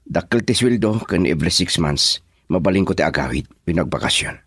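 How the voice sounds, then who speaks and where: A man playing a lovelorn young man in a radio drama, speaking softly with a yearning tone. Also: deep voice, lively intonation, fairly quick, husky, no echo, studio quality, heavily acted. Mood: earnest. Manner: soft, hushed, yearning delivery. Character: lovelorn young man pining for his sweetheart.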